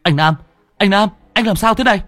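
A man voicing a panicked young man from a rescue crew, speaking high, fast and frantic. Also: a bit bright, no room sound, faint music behind. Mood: alarmed.

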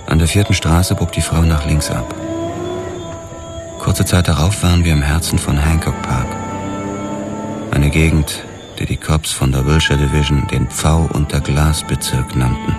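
An actor playing a brooding police detective who narrates his case in a measured, world-weary way in a radio drama. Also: very deep voice, fairly even intonation. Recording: loud music under the voice.

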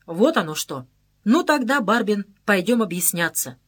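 A woman's voice: medium pitch, sing-song, slightly rough. A woman reading a stern young boatswain. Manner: reproachful, measured.

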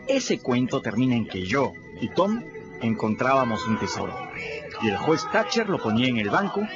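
A plucky teenage river boy in a radio play, folksy and chatty as he talks straight to the listeners. His voice swings up and down a lot. The recording sounds dull, with music playing underneath. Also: mid-range voice. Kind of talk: first-person radio play narration.

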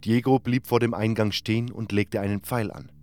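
A man's voice: fairly low pitch, steady tone, moderate pace, a little mellow, smooth. A man reading scripted first-person narration for a fantasy audio drama. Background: background music.

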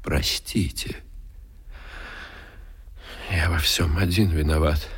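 An actor playing an ardent, genteel gentleman suitor in a radio drama, with hushed, florid delivery. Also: rumbling low, fairly even intonation, takes it slowly, slightly rough, exaggerated voice. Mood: remorseful, ardent. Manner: impassioned, hushed, florid declamation. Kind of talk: theatrical Russian radio drama acting.

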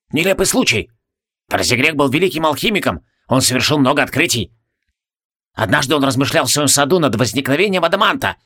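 A man voicing a frantic, cranky old mad alchemist in a fantasy audiobook, husky and barking, repeating himself excitedly. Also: fairly high pitch, pitched above the performer's own voice, exaggerated voice.

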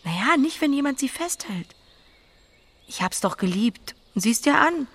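A woman's voice: high-pitched, very animated, normal speed, fairly bright tone, breathy edge, no echo, full character voice. An actress playing a young farm woman who butchers pigs, blunt and plain-spoken but tender, in a naturalistic audio drama. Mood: matter-of-fact, earnest.

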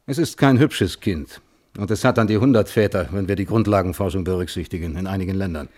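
A guarded scientist witness in a radio drama, lowish voice, careful and precise. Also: male voice, fast talker, a little mellow. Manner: reflective, precise, terse answers. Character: measured, guarded male physicist testifying as witness. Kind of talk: German radio play acting, hearing testimony.

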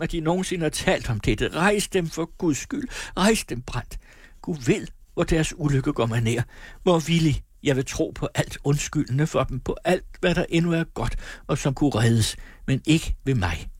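A man puts on a virtuous young woman from a classic novel, pitched up and imploring. The speech is formal and earnest, recorded dry and close. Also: dry recording.

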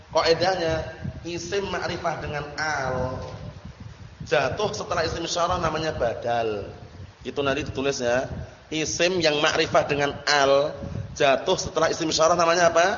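A man's voice: on the high side, fairly bright tone. A man teaching Arabic grammar in class, informally drilling students with repeated questions and frequent checks that they understand. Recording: echoey hall, muffled sound with background noise.

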